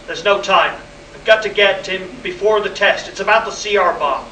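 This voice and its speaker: Bright, high-voiced air base boss under pressure played by a man, sci-fi radio play, echoey distant recording. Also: normal speed. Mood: urgent, insistent. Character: Hank Hanson, urgent air base project chief under pressure. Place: live convention performance, recorded from the room. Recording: echoey distant room recording with faint noise.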